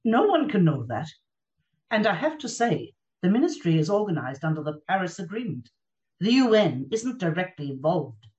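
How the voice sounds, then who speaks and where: A woman playing a guarded, troubled agency chief held captive, speaking carefully and with measured hesitation in a radio drama. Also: fairly low pitch, very animated, a little mellow, lo-fi sound. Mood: guarded, defensive. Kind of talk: read radio drama dialogue.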